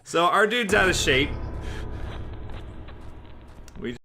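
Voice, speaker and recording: A man's voice: sits high, expressive, moderate pace, pushed and bright, slight background noise. A man giving sarcastic, joking let's play commentary while playing a game. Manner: sarcastic, dry jokes about the game. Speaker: game streamer.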